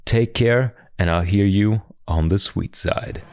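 A man's voice: fairly low pitch, slow, clean tone, dry recording. Cheery podcast host guy, talks to listeners, dry close mic. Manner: upbeat, warm sign-off, addresses listeners as sweeties. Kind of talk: podcast interview and outro.

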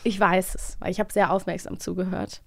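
A woman's voice: on the low side, steady tone, a little fast, vocal fry, fairly bright tone, no room sound, clean studio sound. A woman co-hosting a podcast in casual, playful conversation. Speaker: podcast co-host.

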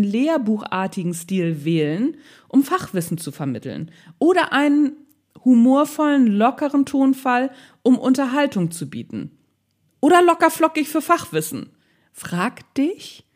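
A woman's voice: fairly high pitch, a little mellow. A woman with a Northern German accent giving a casual blogging tutorial, chatty, playful and colloquial as she speaks to the viewer.

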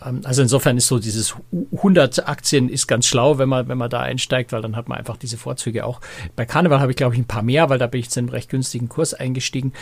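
Creaky-voiced man in a dry recording. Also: mid-range voice, steady tone, fast, no echo. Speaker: podcast co-host and cruise journalist. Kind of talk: casual explanatory podcast talk.

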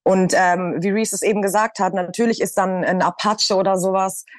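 A woman's voice: medium pitch, fairly even intonation, fairly quick, no echo, studio quality. A woman from Hamburg, a radio presenter, talking in a casual roundtable and stressing her points by repeating them. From Hamburg, Germany.

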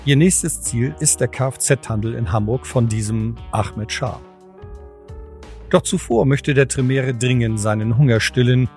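A man's voice: mid-range voice, measured pace, darkish tone. A man narrating a scripted audio drama in the role of storyteller. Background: background music.

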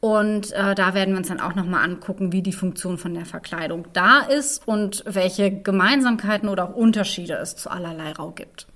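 A woman's voice: medium pitch, normal speed, hardly any pauses, a bit bright. Woman explaining things conversationally. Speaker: podcast co-host. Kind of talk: conversational explanation in a fairy-tale podcast.

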